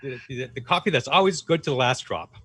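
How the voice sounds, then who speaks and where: A man reading out minutes as a club secretary on a video call, in a halting manner. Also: mid-range voice, a bit bright. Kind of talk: reading minutes at an online meeting.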